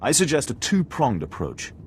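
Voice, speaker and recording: An actor playing a stern, terse military commander in a video game, giving briefings in a curt, formal, dry manner. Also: male voice, mid-range voice, wildly swooping pitch, moderate pace, slight background noise. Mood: stern, businesslike.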